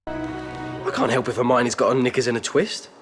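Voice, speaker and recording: A man's voice: mid-range voice, steady tone, normal speed, over music, natural voice. An actor playing a cocky, blunt, good-natured teenage boy in a video game.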